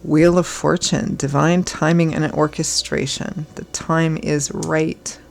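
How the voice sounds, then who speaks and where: Hoarse, gravelly woman tarot reader. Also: low voice, flat delivery, takes it slowly, a little hiss or hum. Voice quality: froggy. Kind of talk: casual astrology reading.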